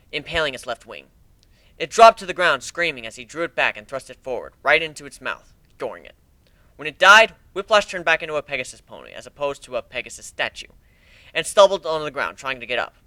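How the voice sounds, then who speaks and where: Animated man narrating fiction. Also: a little high, brisk, no room sound. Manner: expressive, wry. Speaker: amateur story narrator. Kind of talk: dramatic first-person fiction reading.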